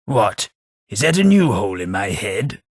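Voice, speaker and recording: A wry posh tithe collector. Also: male voice, on the low side, very animated, moderate pace, slightly rough. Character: dry, sardonic posh English male tithe collector. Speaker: game companion character. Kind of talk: game voice lines.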